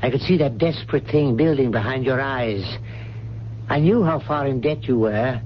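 An actor playing a stern, loyal old family butler in a 1970s radio drama, speaking in a grave, measured, formal way. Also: male voice, mid-range voice, close to an everyday voice. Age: older. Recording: muffled, noisy old radio broadcast.

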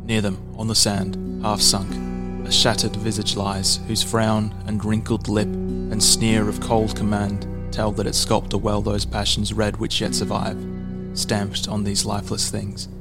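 A man giving a measured, solemn scripted reading as a poetry podcast host. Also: lowish voice, completely flat delivery. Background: instrumental music, plucked guitar.

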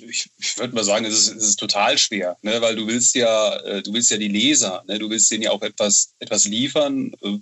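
Bright-voiced man chatting in an interview. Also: on the low side. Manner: halting, repeats words, frequent tag question "ne". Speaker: interview guest, novel author. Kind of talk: casual interview chat.

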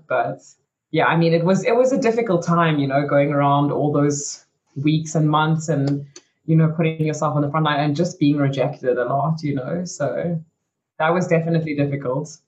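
A woman's voice: sits low, fairly even intonation, normal speed, muffled recording. A young woman from South Africa, a racing driver, telling her story frankly as an interview guest, with lots of "you know" and "like". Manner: chatty and frank, many "you know" and "like" fillers.

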